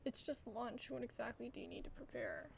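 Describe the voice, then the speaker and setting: A woman gives casual game commentary in a fairly high, wildly swinging voice. She drops into wry, muttered asides with plenty of vocal fry. There is faint rumbling noise behind her. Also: wildly swooping pitch, brisk. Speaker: gaming video host. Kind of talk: casual playthrough commentary, reacting to dialogue.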